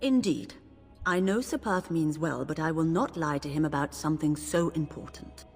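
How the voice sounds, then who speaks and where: A revered mother from a fantasy video game. She is grave, pious and principled, with a fairly low voice. Long reverb surrounds every phrase. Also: normal speed, a little mellow, breathy edge, faint music behind. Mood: solemn. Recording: long reverberant hall echo.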